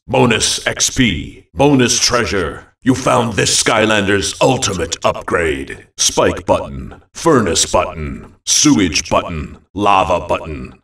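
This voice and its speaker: A man's voice: low-pitched, a bit bright, echoey room, recorded from a distance, exaggerated voice. A man playing a bombastic fantasy arena announcer in a video game, calling out short, emphatic phrases theatrically. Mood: measured, grand. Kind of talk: dramatic arena-announcer voice lines.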